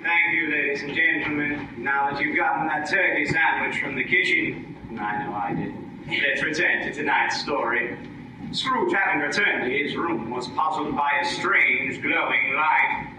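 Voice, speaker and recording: Male actor as old-time radio narrator, grand, wry asides, slightly high voice, distant echoey hall. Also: moderate pace, lightly acted. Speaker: guest host and narrator. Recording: echoey hall from far off, noisy.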